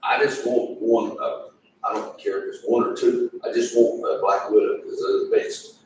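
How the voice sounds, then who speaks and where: Low-voiced man in echoing room. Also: flat delivery, normal speed. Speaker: county employee addressing commissioners. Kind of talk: casual, deferential meeting talk. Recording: echoey meeting room, distant mic, faint noise.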